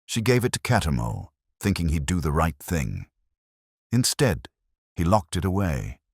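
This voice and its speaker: Man acting a guarded, deep-voiced resigned man. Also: sing-song, normal speed, breathy edge, no echo, studio quality, heavily acted. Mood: bitter, guarded. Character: thin, resigned, secretive man in his forties.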